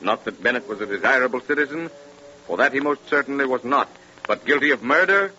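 Sharp, forceful 1930s radio mystery narrator, male. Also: mid-range voice, expressive, faint music behind. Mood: grave. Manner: grave, theatrical, deliberate delivery. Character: solemn, ominous male narrator of true crime mysteries. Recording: dull, lo-fi 1930s radio recording.

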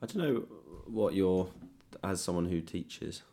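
A young man with a British accent hosting a casual interview, speaking in a tentative, halting way. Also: low-pitched, animated, warm tone. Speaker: podcast host. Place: in a home.

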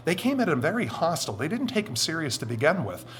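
A middle-aged man with a deep voice talks through his answers in a measured, explanatory way, leaning on certain phrases and stretching them out. A faint hiss of background noise sits under the recording. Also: very animated, fairly bright tone. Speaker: interview guest. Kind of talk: conversational interview answers.

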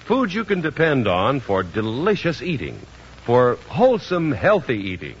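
A man's voice: medium pitch, wildly swooping pitch, normal speed, slight background noise. A man announcing a 1950s radio show with a polished, emphatic sales delivery. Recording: dull 1950s radio recording with music.

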